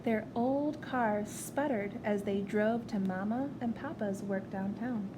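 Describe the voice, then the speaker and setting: A woman reading a picture book aloud to children in an expressive storytelling style. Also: medium pitch, slow. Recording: clear background noise.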